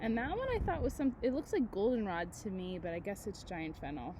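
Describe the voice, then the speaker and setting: A woman's voice: medium pitch, expressive, brisk, warm tone, a little hiss or hum. A woman teacher reading a picture book aloud to children, expressively, with chatty asides and questions to her young listeners.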